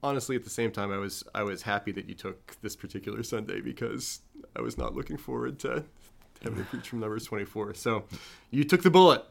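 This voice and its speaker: A man's voice: medium pitch, quick pace, vocal fry. A man hosting a podcast, opening the show and prompting his guest with friendly, upbeat questions. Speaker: podcast host.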